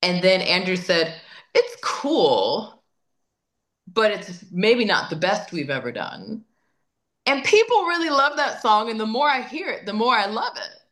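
A woman's voice: medium pitch, very animated, unhurried, a bit bright. A woman singer-songwriter being interviewed, talking in a chatty, emphatic way and often repeating words while she thinks. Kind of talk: casual interview conversation.

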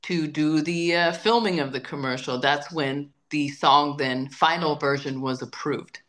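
A woman's voice: low-pitched, measured pace, fairly bright tone. A woman singer-songwriter being interviewed, talking in a chatty, emphatic way and often repeating words while she thinks. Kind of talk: casual interview conversation.

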